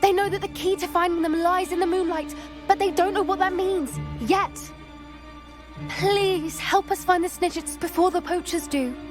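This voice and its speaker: An earnest young witch, speaking in a high voice. She talks gently and sincerely. Faint game music sits under her lines. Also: moderate pace. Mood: pleading. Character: earnest young witch who loves creatures.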